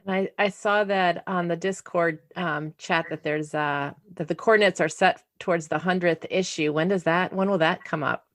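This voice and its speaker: A woman's voice: fairly low pitch, steady tone, moderate pace, few pauses, no room sound, studio quality. A woman co-hosting a podcast, asking a guest questions in a casual, friendly way with small hesitations. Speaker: podcast co-host. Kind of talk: casual interview chat.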